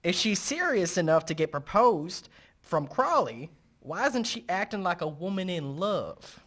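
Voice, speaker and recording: A man's voice: high-pitched, big pitch swings, a little slow, a bit bright, exaggerated voice. A man playing a naive, earnest marina worker in a radio play, guileless and eager.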